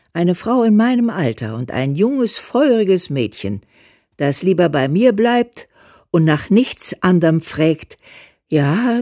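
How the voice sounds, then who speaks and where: A woman's voice: sits low, a little mellow, dry recording, clean studio sound, full character voice. An actress playing a homely, affectionate old mother, plain-spoken and wry, reading her letters in a familiar way in a German radio play. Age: older.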